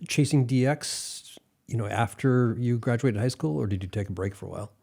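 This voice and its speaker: Mellow, soft-edged male interviewer. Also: mid-range voice, fairly quick, gravelly. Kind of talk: conversational interview.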